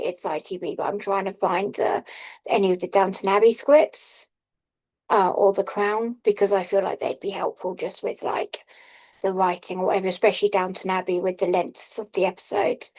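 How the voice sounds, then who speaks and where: Halting woman on a video call. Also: medium pitch, darkish tone, rough recording. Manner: hesitant, many fillers, self-deprecating laughs. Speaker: workshop participant. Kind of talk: casual chat in an online workshop.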